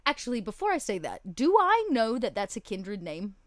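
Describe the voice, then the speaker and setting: Woman with very lively pitch, gaming. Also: moderate pace, dry recording, studio quality. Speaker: player in a tabletop game. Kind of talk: casual in-game chat.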